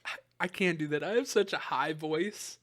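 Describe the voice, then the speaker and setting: A woman's voice: low-pitched, normal speed. A young woman co-hosting a podcast in casual chat, giggly, often second-guessing herself as she talks. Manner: giggly, self-questioning banter. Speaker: podcast co-host.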